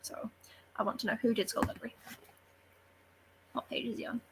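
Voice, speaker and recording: A woman's voice: fairly high pitch, little pitch movement, fairly quick, noisy background. A woman chatting casually and animatedly about books as a panellist, opinionated and informal. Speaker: book club readalong panellist. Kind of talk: casual book discussion chat.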